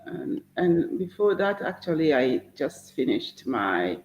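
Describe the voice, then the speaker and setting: A woman doctor in her fifties giving a halting personal account with many pauses, over a call. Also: low voice, sing-song, darkish tone, slight background noise. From Congo. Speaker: doctor and guest. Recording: roomy, dull lo-fi call line.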